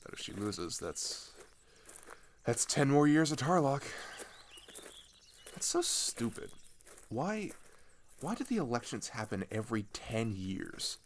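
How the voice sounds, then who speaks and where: A man's voice: mid-range voice, big pitch swings, takes it slowly, pauses often, a little hiss or hum. A man playing an earnest, disillusioned teenage traveler in an ASMR roleplay, talking hesitantly and conversationally, often trailing off. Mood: exasperated. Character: chatty, earnest, disillusioned male teenager on a journey. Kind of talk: ASMR roleplay, naturalistic improvised-sounding monologue.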